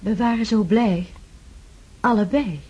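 An actress playing a grave, kind noblewoman in mourning, speaking softly and earnestly in a radio drama. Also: mid-range voice, slightly rough, lo-fi sound. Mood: tender. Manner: soft, earnest and measured.

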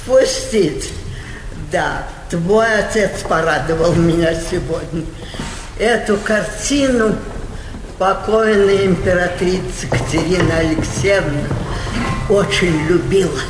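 A woman's voice: lowish voice, slow pace. An older woman playing a haughty, capricious old princess on stage, scolding and commanding in a classical theatrical manner. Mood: gracious. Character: imperious old aristocratic princess, capricious, doting on her pet. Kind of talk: classical Russian stage acting. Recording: echoey theatre hall recording, faint music.

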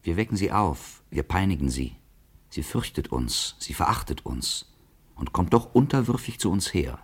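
A man's voice: sits low, big pitch swings, moderate pace, slightly rough, exaggerated voice. An actor playing a brooding, observant man in a literary radio drama, solemn and poetic.

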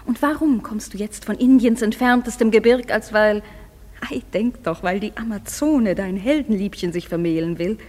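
An actress playing a proud, indignant fairy queen, declaiming verse in a grand, deliberate way for a radio play. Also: medium pitch, darkish tone, natural voice. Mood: scornful, indignant. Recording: music behind the voice.

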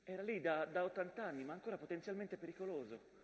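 Brief: male actor as weary, battle-scarred landscape telling of trenches, stage monologue, higher voice, long hall reverb. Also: fast talker, lightly acted. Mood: wry. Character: the war-scarred land itself, weary narrator of trenches. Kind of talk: theatrical monologue.

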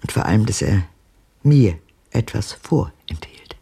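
An elderly woman in a radio drama, haunted by her memories. Her voice is very raspy and breathy, with heavy creak. She speaks haltingly and hushed, weighing each phrase. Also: very deep voice, animated, full character voice. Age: older. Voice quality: husky, gravelly, breathy. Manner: halting, hushed, deliberate delivery. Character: haunted old woman recalling her father's abuse, halting.

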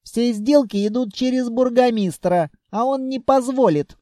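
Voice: medium pitch, a little slow, mellow, no room sound, studio quality, voice pushed up, close to an everyday voice. Чтец озвучивает молодую продавщицу-блондинку из аудиокниги, заносчивую, мелочную сплетницу с манерной речью. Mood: huffy, spiteful. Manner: affected, huffy, confiding. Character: snooty young blonde saleswoman, gossipy, petty, xenophobic.

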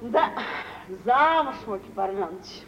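A woman's voice: on the high side, animated, slow pace. An actress playing a fawning middle-aged mother with effusive, deferential manners, in an old theatrical radio play. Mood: meek, agreeing. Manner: effusive, deferential, exaggerated politeness. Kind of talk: 1940s theatrical radio acting. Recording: dull, noisy vintage radio play recording.

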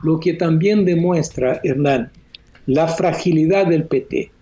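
A Brazilian economist speaking Spanish as a second language, his voice pitched high but mellow and unhurried. He lays out political analysis for a radio program in a measured, explanatory way, often closing a thought with a questioning tag. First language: Portuguese. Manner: measured, explanatory, with frequent "¿no?" tags. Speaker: columnist, economist. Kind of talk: radio phone-in commentary.

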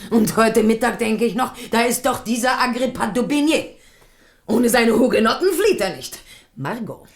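A woman's voice: on the high side, animated, normal speed. An actress playing an imperious, suspicious, middle-aged queen mother in a radio drama, with a commanding, probing, theatrical delivery. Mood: suspicious, demanding.

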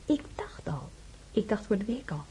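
A woman's voice: mid-range voice, big pitch swings, moderate pace, mellow, slight background noise, close to an everyday voice. An actress playing a cheerful, teasing young female nurse in a radio play. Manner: bubbly, teasing.

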